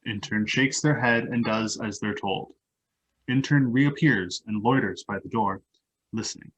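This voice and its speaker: Husky man reading stage directions. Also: lowish voice, unhurried, fairly bright tone, lo-fi sound. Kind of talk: scripted narration of stage directions.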